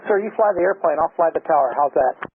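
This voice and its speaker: A man's voice: on the high side, animated, quick pace, husky. A man working as an air traffic controller, speaking curtly and snappily in clipped phrases over aviation radio. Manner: snappy, curt. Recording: tinny band-limited air traffic radio.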